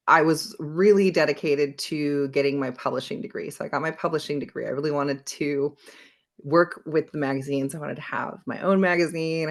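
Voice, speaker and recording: A woman's voice: low voice, gravelly. A woman in her forties who grew up in Belgium, a wellness coach being interviewed on a podcast, talking reflectively with frequent ums and restarts.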